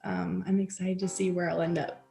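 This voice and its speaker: A woman's voice: on the low side, little pitch movement, fairly quick, gravelly, mellow, echoey room, soft background music. A young woman who grew up in South America, a podcast co-host guest, chattily telling stories. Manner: storytelling, quotes her family, chatty. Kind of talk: casual interview chat.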